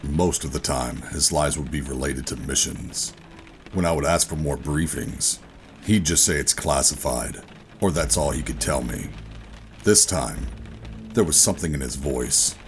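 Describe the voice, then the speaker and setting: A man's voice: rumbling low, measured pace, a little hiss or hum. An actor playing a bitter, defiant covert operative in a sci-fi audio drama, brooding in his delivery. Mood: brooding narration. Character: bitter, defiant male covert operative, reluctantly back in service. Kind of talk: sci-fi audio drama acting with first-person narration. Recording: music bed under the voice. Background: music.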